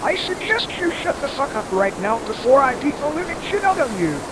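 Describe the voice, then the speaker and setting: Casting: performer as ranting, profane storm reporter, melodramatic and taunting, very animated pitch, heard through loud surf noise. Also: brisk. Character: hot-tempered, foul-mouthed storm reporter, ranting and dramatic. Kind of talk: amateur audio drama acting.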